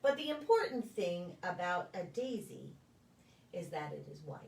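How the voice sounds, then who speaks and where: A woman reading a children's picture book aloud in a deliberate, sing-song storytelling way for preschoolers. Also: lowish voice, sing-song, gravelly, darkish tone, a little hiss or hum. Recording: echoey room, distant microphone.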